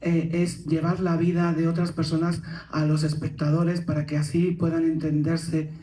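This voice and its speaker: Panelist, woman, Madrid Spanish accent; measured, hesitant delivery, low-key dark timbre, roomy stage sound. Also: level tone. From Madrid, Spain. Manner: slow, thoughtful, hesitant. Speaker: actor on a panel. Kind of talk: panel Q&A answer. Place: theater stage at a premiere. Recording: echoey theater room.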